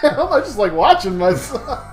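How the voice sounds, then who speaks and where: A man's voice: high voice, very animated, normal speed. A man co-hosting a game session with casual, teasing banter and mock exasperation. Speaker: let's play co-host. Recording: roomy echo, music underneath.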